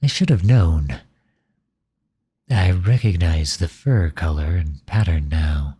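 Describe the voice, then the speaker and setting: A man's voice: deep voice, animated, takes it slowly, mellow, husky, exaggerated voice. A man acting in an ASMR roleplay as a grumpy, exasperated male creator with a sarcastic, flustered, grudging manner.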